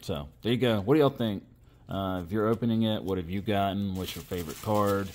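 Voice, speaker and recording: Male hobby host, low register, conversational commentary, faint noise in the background. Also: fairly quick, a little mellow. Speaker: host of a card-opening channel. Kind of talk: casual commentary while opening trading cards.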